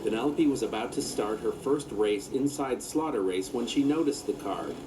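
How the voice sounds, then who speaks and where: A man's voice: medium pitch, moderate pace, noisy background. A man reading a children's story aloud as its narrator.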